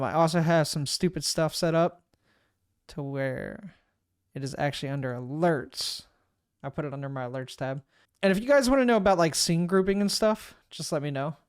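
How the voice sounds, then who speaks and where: A man casually walking through a streaming software setup, thinking aloud and narrating each click as he goes. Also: on the high side, smooth, no echo, clean studio sound. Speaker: streamer giving a software tutorial. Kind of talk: casual screen-recorded walkthrough. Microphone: desk microphone.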